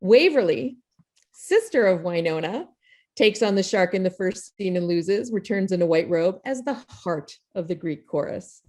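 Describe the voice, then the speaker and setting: A woman's voice: medium pitch, lively intonation, normal speed, a little mellow. Female host for video-call show introduction; informal, jokey, self-effacing delivery. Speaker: playwright and host of an online table read.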